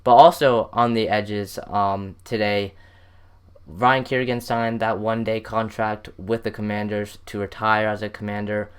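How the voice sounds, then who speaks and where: An American man hosts a casual sports interview. His voice is clean and smooth, sitting slightly on the low side, as he puts questions to his guest. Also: level tone, measured pace. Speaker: podcast host, interviewer.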